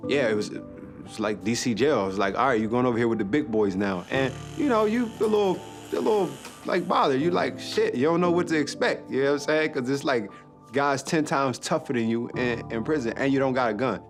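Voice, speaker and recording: A man's voice: medium pitch, expressive, brisk. A man from Washington, D.C., talking casually and rambling with many fillers in a documentary interview. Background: music.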